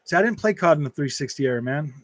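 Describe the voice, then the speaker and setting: Male streamer, early 40s, voice a touch high, casual rambling delivery full of slang, dry sound. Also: lively intonation, fast talker, dry recording. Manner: rambling, repetitive, slangy, calls listeners dude, man and bro. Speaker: gaming channel streamer. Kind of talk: casual livestream chat, answering and reading out viewer comments.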